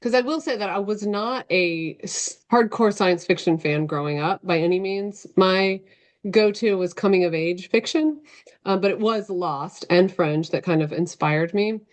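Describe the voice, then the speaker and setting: A woman's voice: mid-range voice, normal speed. A middle-aged woman answering interview questions casually on a video call, loosely structured and often correcting herself as she explains. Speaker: interview guest, novelist. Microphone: video call microphone.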